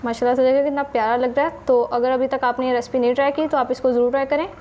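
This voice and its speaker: A woman's voice: high voice, fairly even intonation, racing, a little mellow, dull audio, a little hiss or hum. A woman hosting a home cooking channel with a Pakistani Urdu accent, patiently explaining each recipe step to her viewers.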